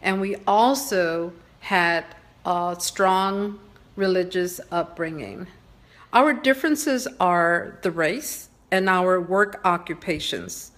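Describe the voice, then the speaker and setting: A woman from Texas giving a prepared, earnest personal presentation in a measured, slightly read-aloud way. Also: on the low side, fairly bright tone. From Texas, San Antonio. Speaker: presenter sharing family background.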